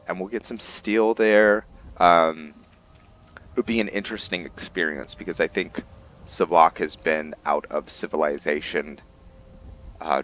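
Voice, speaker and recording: A man's voice: extremely low pitch, a bit bright, muffled recording. A man hosting a gaming video, giving casual roleplay commentary in a musing, thinking-aloud manner.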